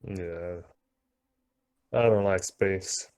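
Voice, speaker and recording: A man's voice: sits low, fairly even intonation, very slow, a little mellow, smooth, no room sound, studio quality. A man playing a tabletop roleplaying game, speaking casually in short, laconic replies. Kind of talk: casual in-character chat.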